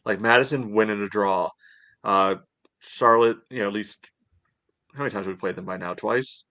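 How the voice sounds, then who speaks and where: A man with a fairly low voice, recorded very dry, co-hosts a sports podcast. He analyses casually, thinking out loud in a conversational flow. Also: normal speed, dry recording. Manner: conversational, reasoning aloud with frequent "you know". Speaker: podcast co-host.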